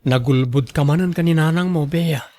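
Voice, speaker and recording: Young student boyfriend for radio serial, male actor, Filipino accent; earnest, apologetic, slightly higher-pitched voice. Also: normal speed, warm tone, close to an everyday voice. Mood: concerned, apologetic. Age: young adult. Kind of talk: radio drama acting.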